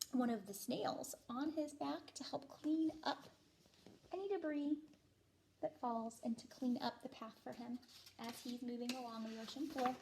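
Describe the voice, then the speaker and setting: A woman teaching kindergarten, reading a picture book aloud expressively and explaining as she goes. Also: a little high. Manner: expressive, explanatory, with stressed phrases. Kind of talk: picture book read-aloud for young children.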